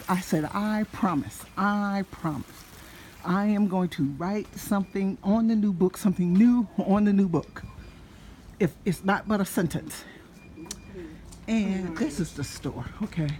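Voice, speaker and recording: An older woman vlogs from a handheld phone on an evening walk through a residential street. Her voice is mid-pitched, and her storytelling is loose and chatty, full of side comments and small laughs. Faint street noise and a passing car now and then sit under her voice. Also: darkish tone. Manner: rambling, chatty, laughs often, loses her thread. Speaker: vlogger. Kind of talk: casual walk-and-talk vlog. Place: outdoors on a residential street at dusk. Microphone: handheld phone, filming the street ahead while walking.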